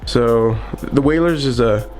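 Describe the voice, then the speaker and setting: A man's voice: medium pitch, measured pace, a little mellow, faint music behind. A young adult man hosting a casual vlog from his small home office studio, talking in an upbeat, rambling way with many fillers and restarts. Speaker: vlogger.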